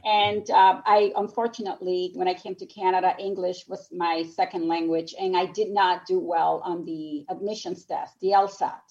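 A woman's voice: fairly low pitch, steady tone, normal speed, hardly any pauses, a little mellow, muffled recording. A woman from Nicaragua, speaking English as a second language, telling her story as a guest on a radio talk show in an earnest, reflective way. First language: Spanish. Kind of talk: radio interview.

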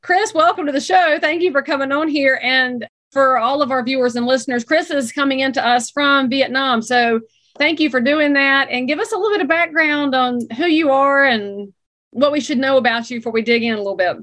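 A woman hosting a podcast, her voice sitting a little high. She talks in an animated, opinionated way as she interviews a guest. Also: a little fast. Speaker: podcast host.

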